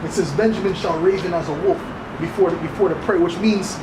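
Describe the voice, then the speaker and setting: A man with a fairly high-pitched voice explains scripture in an informal, insistent street-preacher style, often tagging his points to seek agreement. The sound is echoey, with very loud ambient noise around him. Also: fairly even intonation, fast. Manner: insistent, repeatedly seeking agreement with tags like right and okay. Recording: echoey, very noisy outdoor street recording.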